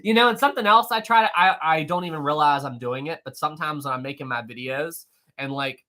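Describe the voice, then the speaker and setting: A man hosting a casual live stream, answering viewer messages in an animated, rambling way with frequent fillers, restarts and laughs. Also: a little high, quick pace. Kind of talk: casual live-stream chat reading super chats.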